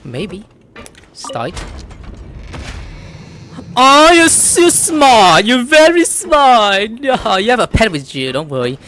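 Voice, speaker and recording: A woman doing casual, excitable let's-play commentary, exclaiming and gushing as she talks to the characters and to herself. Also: fairly high pitch, slow pace, long pauses. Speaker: gameplay streamer. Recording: game music behind the voice.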